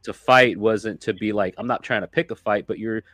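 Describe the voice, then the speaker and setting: A man's voice: fairly low pitch, fairly even intonation, brisk, fairly bright tone, no echo. A man nearing forty, a podcast guest, talking in a laid-back way and often saying "dude" and "like". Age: late 30s. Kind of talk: casual podcast chat.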